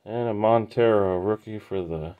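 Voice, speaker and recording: A man hosting a trading card break, casually naming each card as he opens the packs in an unhurried, matter-of-fact way. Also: fairly low pitch, clean tone, narrow, tinny audio. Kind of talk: casual pack-opening commentary.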